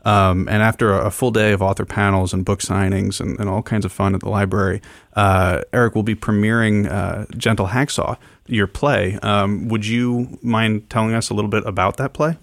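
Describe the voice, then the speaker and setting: A man with a fairly low voice co-hosts a radio show. He interviews conversationally, and the sound is close and dry. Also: fairly even intonation, moderate pace, vocal fry, dry recording.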